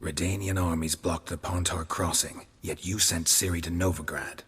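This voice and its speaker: An actor playing a stoic monster hunter in a video game, husky, terse and deadpan. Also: male voice, low-pitched, normal speed, slight background noise. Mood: probing, flat. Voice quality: gravelly, husky. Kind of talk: restrained video game acting.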